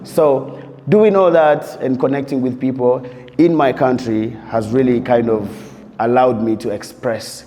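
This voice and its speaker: A man's voice: mid-range voice, mellow. A man from Uganda, a DJ and producer reflecting in an interview, telling his story loosely and leaning on "you know" throughout. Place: interview set while on tour abroad. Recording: long reverb.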